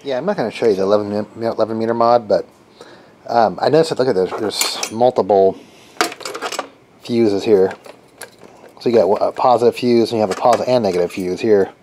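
Male hobbyist host, lowish voice, casual and halting delivery, handheld camera in a garage workshop with faint background noise. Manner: halting, thinking aloud, repeating phrases. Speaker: hobbyist repair channel host. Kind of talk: casual unscripted hands-on demo chat. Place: cluttered garage workshop with open door. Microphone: handheld camera microphone. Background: ambient noise.

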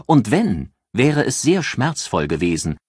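A man's voice: medium pitch, very animated, measured pace, darkish tone, breathy edge, dry recording, clean studio sound, voice pushed up. A man voicing a self-assured career woman in an audiobook, with a cool, drawling, ironic and taunting manner. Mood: taunting, frank.

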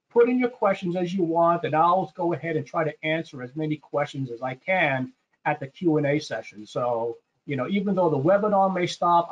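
Male webinar presenter, fairly high voice, conversational and explanatory, slightly muffled lo-fi sound. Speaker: sales presenter hosting a product webinar. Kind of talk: informal slide presentation to an online audience. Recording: dull, muffled webinar audio.

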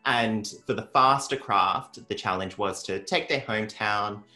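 A man's voice: lowish voice, sharp tone. A man, hosting a casual, upbeat craft recap chat. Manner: upbeat, chatty, quick with occasional whoops. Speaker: host. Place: bright craft-decorated studio set. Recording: roomy studio with faint music.

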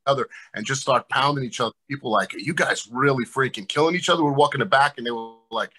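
Quick, bright-voiced middle-aged wrestling host. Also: male voice, medium pitch, husky. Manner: laid-back, says "man" a lot. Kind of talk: casual chat on a video call. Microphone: video call.

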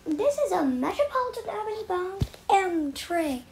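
A woman serves as a train announcer, with a very high voice that rises and falls in a lively way. She reads scripted station announcements in set, clipped phrases, slowly and over audible noise. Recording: noisy recorded train announcements.

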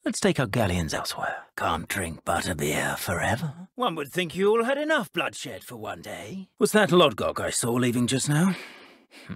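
An actor playing a scheming, condescending aristocratic wizard villain in a video game. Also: male voice, medium pitch, wildly swooping pitch, normal speed, fairly bright tone, dry recording, heavily acted. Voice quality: husky, smooth and condescending. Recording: dry studio recording.

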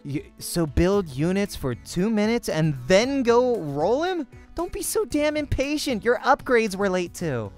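A man voicing a sharp, bossy young woman gamer who scolds and taunts, in a visual novel. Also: sits high, sing-song, a little slow, hardly any pauses, faint music behind, pitched above the performer's own voice. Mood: exasperated.

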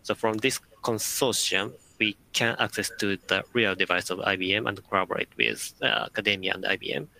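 Bright, gravelly young man, nonnative speaker. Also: on the low side, steady tone, low-quality recording. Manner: careful, halting, nonnative phrasing. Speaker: academic panelist. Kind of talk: video-call panel discussion. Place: home room. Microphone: video call.

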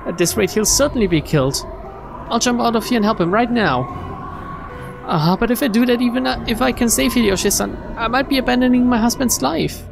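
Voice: medium pitch, brisk, gravelly. A streamer reading visual novel text aloud in a dramatic narrating style. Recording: game music behind the voice.

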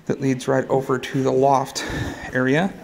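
Gravelly man narrating a house walkthrough. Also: mid-range voice, monotone, normal speed, a little hiss or hum. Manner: matter-of-fact, pointing out rooms as he walks. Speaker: house tour guide. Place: large unfinished house, indoors. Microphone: handheld phone camera.

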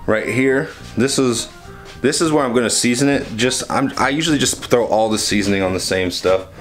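A man's voice: medium pitch, moderate pace, soft background music. A young man chatting casually through a cooking how-to, rambling and correcting himself as he films with a handheld camera in a small home kitchen. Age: young adult. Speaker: vlogger. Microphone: handheld camera at arm's length.

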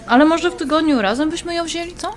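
A woman's voice: very high-pitched, fast talker, crowd noise. A woman playing a caring, hesitant wife in a naturalistic audio drama, coaxing her husband. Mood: hesitant, worried. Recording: faint clinking restaurant background. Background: cutlery and dishes clinking.

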